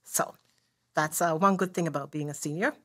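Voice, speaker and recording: A woman's voice: low-pitched, normal speed. A woman in her sixties, a guest on a podcast in a community studio, telling her family history in a chatty way, often checking in with "right?". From Montreal, Canada. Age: 60s. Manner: conversational storyteller, frequent "uh" and "right?". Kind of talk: casual interview.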